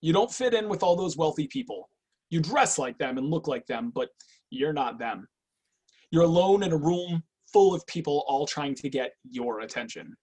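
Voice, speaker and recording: An actor playing a guarded, clinical agent with a cool, probing, businesslike manner in an online screenplay reading. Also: male voice, fairly high pitch, lively intonation, normal speed, darkish tone, slightly rough. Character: guarded, clinical intake agent, weary but obedient man. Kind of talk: online screenplay table read.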